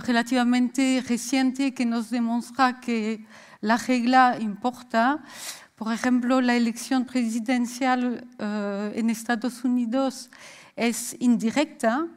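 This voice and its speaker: A Belgian woman with a French accent giving a popular science talk in a measured, hesitant way. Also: on the high side. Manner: measured lecturing with frequent hesitations. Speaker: science speaker. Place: stage of a lecture hall.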